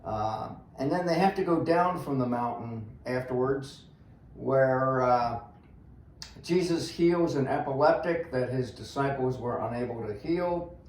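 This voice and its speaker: Echoey, halting older man talking scripture. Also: mid-range voice, darkish tone. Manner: halting, plain-spoken, thinks aloud with pauses. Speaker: guest on a church read-along discussion. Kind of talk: casual unscripted Bible discussion. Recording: echoey room, faint noise.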